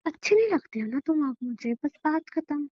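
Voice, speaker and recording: Woman acting high-voiced, stubborn, teasing young girlfriend. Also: very animated, moderate pace. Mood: defiant. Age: young adult. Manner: insistent, repeats herself. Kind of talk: acted phone-call conversation. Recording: dull, lo-fi phone call recording.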